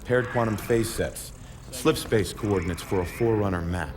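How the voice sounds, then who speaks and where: An actor playing an eager, self-assured military tech expert explaining things in a video game. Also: male voice, on the low side, moderate pace, background noise, close to an everyday voice. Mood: confident, explaining. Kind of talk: cinematic video game acting. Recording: game music behind.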